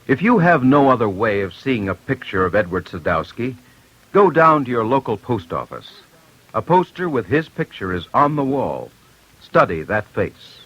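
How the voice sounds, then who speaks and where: A man's voice: mid-range voice, sing-song, moderate pace, a bit bright. A man hosting an old-time radio crime program, reading a script in a grave, urgent manner with direct appeals to listeners. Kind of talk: mid-century radio scripted narration. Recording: muffled old radio broadcast with music. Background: music.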